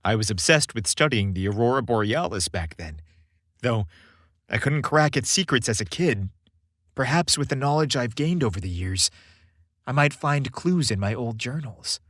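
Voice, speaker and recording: A man's voice: medium pitch, animated, normal speed, a bit bright, dry recording, clean studio sound. A man voicing an earnest, determined young man, Santa's son, speaking in a confiding way in a story. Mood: overwhelmed, resolute.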